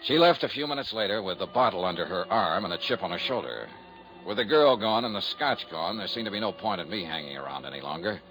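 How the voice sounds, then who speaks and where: An actor playing a cool, wry hard-boiled detective and narrator, clipped and knowing, in 1950s radio style. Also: male voice, sits low, lively intonation, fast, a bit bright, faint music behind, poor audio. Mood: dry narration. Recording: vintage radio drama recording.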